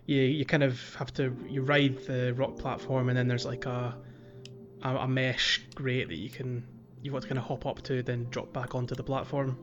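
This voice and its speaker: A man co-hosting a podcast, explaining a video game in a casual, halting way. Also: mid-range voice, level tone, muffled recording. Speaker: podcast co-host.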